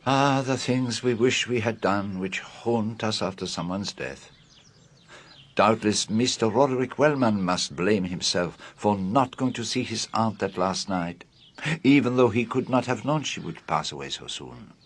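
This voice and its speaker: An actor in a radio drama playing a courteous, shrewd Belgian detective with a French-speaking accent and formal, precise phrasing. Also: male voice, lowish voice, animated, unhurried. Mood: grave, sympathetic. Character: shrewd, courteous foreign male private detective, probing and measured.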